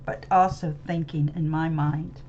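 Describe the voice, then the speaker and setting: A woman's voice: low voice, steady tone, measured pace, background noise. An American woman thinking aloud about a book she read, rambling and searching for words with many restarts. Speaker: webcam channel host. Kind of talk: unscripted musing monologue about a book. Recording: slightly dull lo-fi audio with faint ambience.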